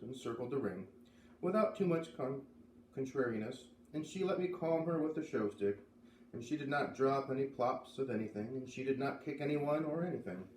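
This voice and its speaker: A man reading a children's novel aloud as an expressive storybook narrator. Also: mid-range voice. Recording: roomy, distant mic, faint noise.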